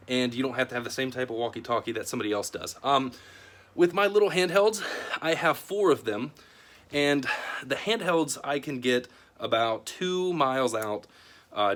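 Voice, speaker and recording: A man's voice: mid-range voice, fairly bright tone. A man hosting a casual product review to camera in an informal, plain-spoken, conversational way. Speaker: video host.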